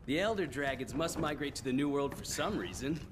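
Actor as animated, brash young adventurer. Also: male voice, mid-range voice, fairly quick, noisy background, natural voice. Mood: keen, curious. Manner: brash, friendly, excitable. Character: eager, chummy young male adventurer. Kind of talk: animated game cutscene dialogue. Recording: loud music underneath.

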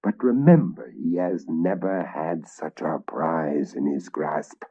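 A man's voice: lowish voice, huge pitch swings, unhurried, dark tone, husky, full character voice. A man voicing a fierce, treacherous knight who speaks in a scornful, whispered hiss, in a dull lo-fi recording. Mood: scheming.

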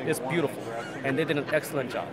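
A man's voice: medium pitch, fast talker. A man in his thirties hosting an aquarium channel, walking through a trade show and showing products with eager, self-deprecating chatter. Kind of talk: trade show walkthrough and interviews. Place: busy indoor expo hall. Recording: crowd chatter from a busy hall.

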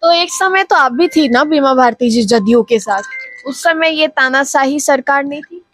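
A woman's voice: sits high, normal speed, fairly bright tone, dry recording, clean studio sound, faint music behind. A woman in her twenties with an Indian accent, working as a news reporter and interviewer with a handheld microphone. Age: 20s. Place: living room, indoors.

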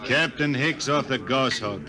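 An actor in a 1950s radio drama playing a cocky, hard-drinking old space hand with a folksy drawl. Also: male voice, medium pitch, a little slow, a crowd in the background, close to an everyday voice. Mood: folksy, unbothered. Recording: old radio recording with music behind.

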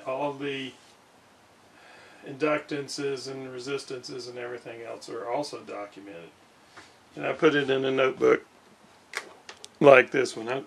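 Male hobbyist demonstrator, unhurried and hesitant, off-mic with some faint background noise. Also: mid-range voice, fairly bright tone, distant mic, rough recording. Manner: halting, hesitant, thinking aloud. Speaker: radio restorer, video host. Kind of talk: casual hands-on demonstration talk. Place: indoor home workshop at a desk.

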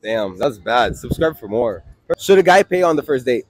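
A young man asking passers-by quick questions outdoors, teasing and playful, into a handheld mic. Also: medium pitch, very animated, no echo, low-quality recording. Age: young adult. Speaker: street interviewer. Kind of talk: casual street interview questions. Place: outdoors on a beach promenade and street.

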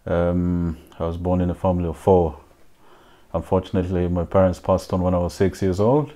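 Deep voiced ugandan guy, soft and thoughtful, chatting in his living room. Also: flat delivery, brisk. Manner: soft-spoken, reflective, with fillers. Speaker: interviewee. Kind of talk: personal interview answers.

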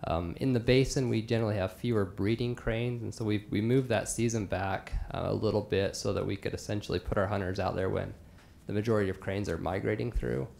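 A man in his thirties, a wildlife biologist, answering board questions at a podium in a large meeting hall, measured and full of ums. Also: fairly low pitch, fairly even intonation, vocal fry, darkish tone. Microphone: podium microphone.

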